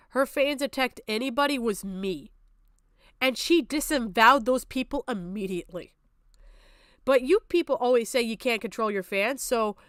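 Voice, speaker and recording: A woman with a smooth, fairly high voice does live reaction commentary on a stream. She is combative and sarcastic and curses freely. The sound is dry and close, with no room on it. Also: a bit bright, no echo. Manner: combative, sarcastic, heavy swearing.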